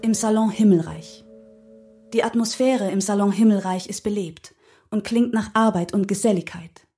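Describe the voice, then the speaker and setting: Woman reading radio play scene directions, soft dark mellow tone, mid pitch. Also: normal speed, faint music behind. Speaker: podcast host.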